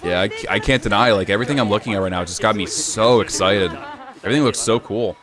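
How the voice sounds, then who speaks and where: A young man with a lowish voice chats casually on a live stream, mostly even and low-key but now and then jumping up with excitement. Loud battle crowd noise from the game rumbles behind him. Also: fast talker.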